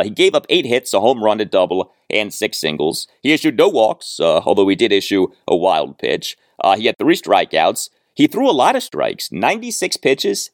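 Male sports show host: deep, highly animated pitch, deliberate and emphatic recaps, dry close sound. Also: dry recording.

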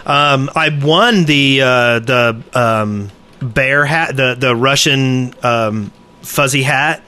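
A man's voice: medium pitch, takes it slowly, bright, forceful tone, smooth. A man co-hosting a casual gaming podcast, talking in a hesitant, self-questioning way. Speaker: podcast co-host.

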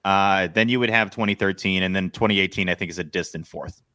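Bright, low-pitched American man chatting. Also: quick pace, no room sound, studio quality. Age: 30s. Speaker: podcast co-host. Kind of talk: casual sports podcast chat.

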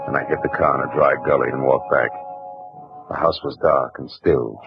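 An actor playing a wisecracking hard-boiled private detective who also narrates, in a 1940s radio drama with a dull old broadcast sound. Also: male voice, a bass voice, fairly even intonation, normal speed, over music. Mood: narrating. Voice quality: husky, clipped, tough-talking. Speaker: narrator and lead.

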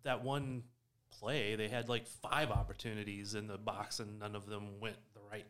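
A man's voice: on the low side, flat delivery, moderate pace, fairly bright tone, clear, resonant tone. A man in casual soccer discussion on a podcast, matter-of-fact and ready to argue his point. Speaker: sports podcast panelist.

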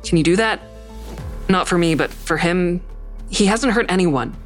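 A woman's voice: lowish voice, lively intonation, moderate pace, lightly acted. Troubled, defiant woman over background music, acted. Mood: pleading. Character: troubled, defiant woman suspect narrating her own story. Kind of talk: first-person audio drama narration and dialogue.

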